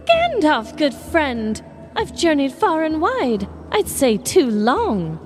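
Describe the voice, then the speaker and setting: Casting: woman as plucky hobbit stoner lass, very high and swooping, dramatic, comic fantasy audio drama. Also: huge pitch swings, takes it slowly, heavily acted. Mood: excited. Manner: dramatic, sing-song, swooping. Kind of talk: comedic fantasy audio parody. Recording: music playing behind.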